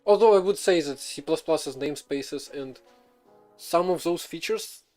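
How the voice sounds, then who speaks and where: Rambling man, fairly high voice. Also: normal speed, a little mellow, faint music behind. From Belarus. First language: Russian. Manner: rambling, many fillers and restarts, laughs often. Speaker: streamer. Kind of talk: casual live coding stream chat.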